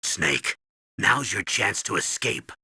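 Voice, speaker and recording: A man playing a gruff, urgent military commanding officer briefing his agent in a video game, with a commanding, instructive delivery. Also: lowish voice, animated, slow, bright, forceful tone, no echo, studio quality, heavily acted. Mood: commanding, steady.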